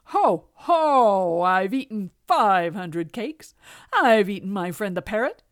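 A woman's voice: medium pitch, big pitch swings, takes it slowly, no room sound, studio quality. A woman voicing a boastful, greedy fat tomcat in a children's storybook, with a swaggering, scornful manner.

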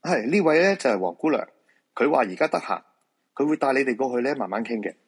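A man's voice: mid-range voice, lively intonation, fast talker, breathy edge. A man playing a polite, patient feng shui master in a radio drama, explaining things reassuringly with a Hong Kong Cantonese accent. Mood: calm, reassuring.

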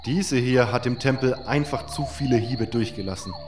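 A man's voice: mid-range voice, normal speed, background noise. A man narrating a fantasy audiobook in the first person, reading from a script.

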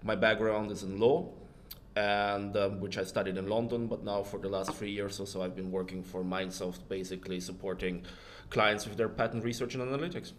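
Lowish-voiced young man, noisy recording. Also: steady tone, a little fast. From born in Russia, grew up around Europe, partly Sweden. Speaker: guest, patent research client trainer. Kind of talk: casual interview answers. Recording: background rumble, clear noise.

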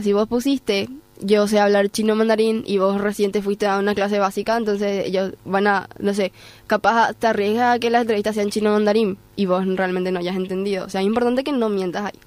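A woman's voice: medium pitch, fairly even intonation, a little fast, darkish tone, no echo. Paraguayan woman giving conversational advice. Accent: Paraguayan Spanish. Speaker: guest, programme coordinator. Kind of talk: conversational advice in a podcast interview.

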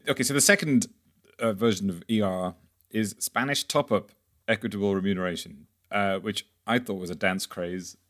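A man hosting a podcast, casually moderating an interview in a wry, halting way. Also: on the low side, a bit bright. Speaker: podcast host.

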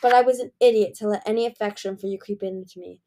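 A woman voicing a cold, menacing male dragon king who growls his lines slowly. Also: medium pitch, steady tone, dark tone, lightly acted. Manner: growling, clipped, icy.

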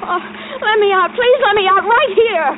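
A woman's voice: extremely high pitch, normal speed. An actress playing a frightened captive woman, earnest and dramatic, in an old-time radio thriller. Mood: pleading. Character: frightened captive woman, pleading and narrating her ordeal. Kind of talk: old-time radio thriller acting, first-person narration. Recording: noisy, tinny old radio recording.

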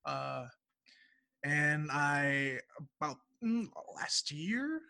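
A man's voice: a little high, lively intonation, extremely slow, creaky, pushed and bright, dry recording, studio quality. A man in his twenties from Louisiana, chatting casually as a guest about his path into theater, upbeat and laughing easily. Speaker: guest, marketing director. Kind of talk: casual interview chat.

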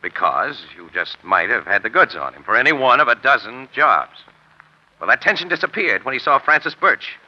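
A man's voice: medium pitch, very animated, moderate pace. An American man playing a savvy, easygoing crime photographer in 1940s radio drama, talking in casual slang. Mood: confident, reasoning. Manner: slangy, conversational. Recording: muffled old radio broadcast, music behind.

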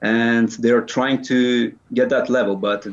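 A man's voice: medium pitch, steady tone, slow, highs cut off. A man in his early twenties with a Bulgarian accent, giving casual answers as an interview guest. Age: early 20s. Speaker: interview guest, calisthenics athlete.

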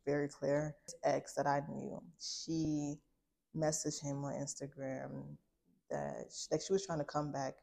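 A young woman in her twenties shares a personal testimony in a low, fairly flat voice. She speaks informally and reflectively, with a candid conversational flow and a creaky edge. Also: unhurried. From Liberia, later United States. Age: 20s. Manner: informal, reflective, frequent use of "like". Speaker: guest sharing a personal testimony.

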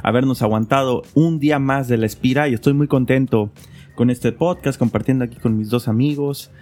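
A man's voice: medium pitch, fairly even intonation, fairly quick, dark tone. A man co-hosting a podcast, speaking in a casual, conversational style to thank listeners. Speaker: podcast co-host.

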